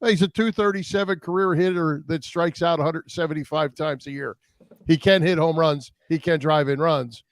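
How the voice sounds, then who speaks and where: A man's voice: on the high side, fairly even intonation, normal speed, dry recording, studio quality. A man co-hosting a sports show, chatting casually about the games.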